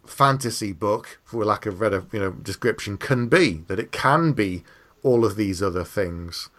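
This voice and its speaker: Low-voiced man hosting casually. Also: moderate pace, a bit bright. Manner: agreeable, affirming. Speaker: podcast host, interviewer. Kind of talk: casual conversational interview.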